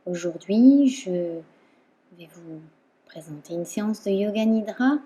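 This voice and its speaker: Very soft, dark-voiced woman guiding relaxation slowly. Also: mid-range voice, husky. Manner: calm, soothing, with long pauses between phrases. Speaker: yoga nidra instructor. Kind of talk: guided relaxation meditation.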